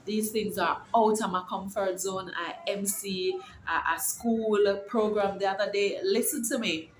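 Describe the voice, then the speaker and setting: A woman's voice: mid-range voice, fairly even intonation, measured pace, slight background noise. A middle-aged woman vlogging from home, talking in a casual, encouraging, preachy way and rambling with restarts. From Jamaica. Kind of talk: casual faith-filled vlog. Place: her home. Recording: echoey room with faint ambience.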